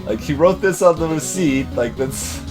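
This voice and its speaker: A man giving casual let's play commentary and reading dialogue, haltingly thinking aloud. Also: fairly high pitch, animated, music in the background. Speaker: let's player voicing game characters. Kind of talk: casual gameplay commentary mixed with read dialogue.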